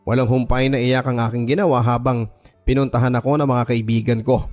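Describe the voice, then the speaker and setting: A man's voice: medium pitch, flat delivery, brisk, darkish tone. Male narrator of a radio drama, music underneath. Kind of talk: scripted first-person dramatic storytelling. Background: background music.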